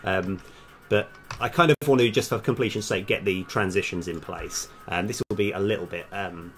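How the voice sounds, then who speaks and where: A man's voice: low voice, normal speed, a bit bright. A man live-streaming as a game developer, casually thinking aloud and muttering bits of code to himself in fragments. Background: background music, computer keyboard typing.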